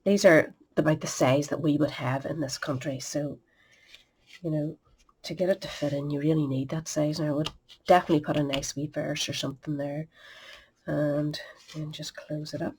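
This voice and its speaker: A woman's voice: deep voice, fairly even intonation, normal speed, darkish tone, breathy edge. Chatty woman crafting, sniffly. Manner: chatty and homely, muttering asides under her breath. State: sniffly with a cold. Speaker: craft tutorial host. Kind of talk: step-by-step crafting demonstration.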